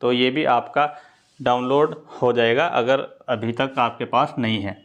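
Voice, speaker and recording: A man's voice: medium pitch, steady tone, a little slow, highs cut off. A man talks to students in a mid-range voice. He explains in an informal, instructive way, like a coaching presenter. He repeats key points and often checks in with his listeners.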